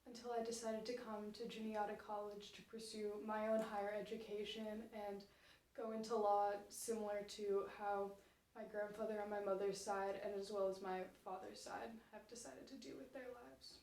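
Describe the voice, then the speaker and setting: A woman's voice: mid-range voice, little pitch movement, moderate pace, a little mellow. A young woman, a college student, answering interview questions casually with many fillers. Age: young adult. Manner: casual, frequent "like" and "um". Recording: echoey room, voice from far off.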